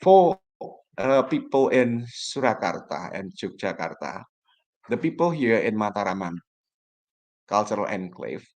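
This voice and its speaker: A man from Java, a native Javanese speaker with an Indonesian accent, giving an academic lecture in a formal, deliberate way and often addressing his audience directly. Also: fairly low pitch, big pitch swings, a little mellow. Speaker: guest lecturer, academic researcher. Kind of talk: academic lecture on research methods.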